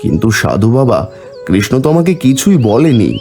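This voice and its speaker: Humble, good-hearted elder brother for a cartoon, male narrator acting, animated mid-range voice. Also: mid-range voice, normal speed, faint music behind. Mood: humble, questioning. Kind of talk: cartoon fairy-tale voice acting.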